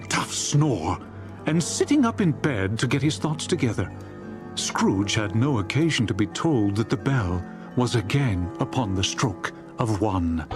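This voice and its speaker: A man narrating a classic story as a dramatized audiobook, in a theatrical, expressive storytelling manner. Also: medium pitch. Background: music.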